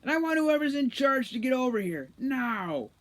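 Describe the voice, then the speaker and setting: Woman playing bossy male elf commander for storybook: gruff, gravelly, emphatic, raised and forceful. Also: sits high, big pitch swings, moderate pace, pitched above the performer's own voice. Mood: commanding, irritated. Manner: barking, emphatic orders.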